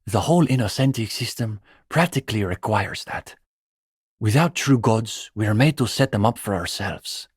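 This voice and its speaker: An actor playing an earnest, pretentious young communist student intellectual with a bookish, opinionated way of talking, in a video game. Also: male voice, fairly low pitch, animated, moderate pace, slightly rough, dry recording, clean studio sound. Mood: scornful. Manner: bookish, opinionated, academic phrasing. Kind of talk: video game character dialogue.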